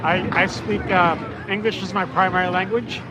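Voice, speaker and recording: A man's voice: high-pitched, fairly even intonation, moderate pace. An American man chatting casually at a bowling alley, rambling and slipping in bits of other languages. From United States. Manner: chatty, rambling, drops in bits of French and Spanish. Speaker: bowler. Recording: loud background noise.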